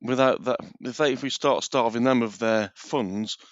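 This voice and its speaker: A British man in casual chat, earnest and opinionated with a halting delivery, speaking with a mild sore throat. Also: mid-range voice, no room sound, highs cut off.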